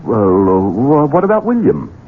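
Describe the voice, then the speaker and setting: A man's voice: lowish voice, huge pitch swings, moderate pace, husky, heavily acted. A man playing a coldly cruel, scheming heir in a 1970s radio drama, taunting and theatrical. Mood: sly, insinuating. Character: menacing, scheming Southern heir, adult son, coldly cruel. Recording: tinny, band-limited 1970s radio with hiss.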